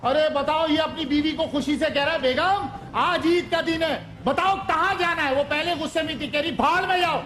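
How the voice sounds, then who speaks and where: Joking high-voiced man in an echoey room. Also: normal speed, hardly any pauses, fairly bright tone, a little hiss or hum. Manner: joking anecdotes. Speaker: guest. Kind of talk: comic storytelling.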